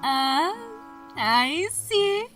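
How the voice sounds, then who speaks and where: A woman playing an excitable, teasing young female scholar in a fan audio drama, exclamatory and playful. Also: high voice, extremely slow, recorded from a distance, soft background music, full character voice. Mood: teasing, suspicious.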